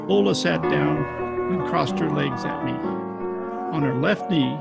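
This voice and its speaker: A tough, wisecracking detective acted by a man from an old-time radio play, impatient with sentiment. The voice sits high for a man and is heavily creaky, with music and other voices clearly behind it on a home video-call recording. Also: takes it slowly, exaggerated voice. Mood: wry storytelling. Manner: hard-boiled, sardonic.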